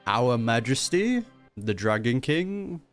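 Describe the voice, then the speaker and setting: A woman's voice: rumbling low, very animated, takes it slowly, faint music behind. A woman streamer giving casual, slangy, teasing reaction commentary over a video game. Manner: laughs often, teasing, slangy. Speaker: gaming streamer reacting to a story quest.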